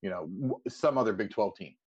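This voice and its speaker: An American man hosting a sports podcast, asking questions in a casual, halting, roundabout way. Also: on the low side, animated, darkish tone, breathy edge. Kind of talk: conversational interview. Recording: slightly dull lo-fi audio.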